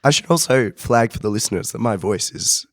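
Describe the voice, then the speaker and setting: A man's voice: on the low side, expressive, fairly quick. A man with a cold giving blunt, short answers as a podcast guest. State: has a cold.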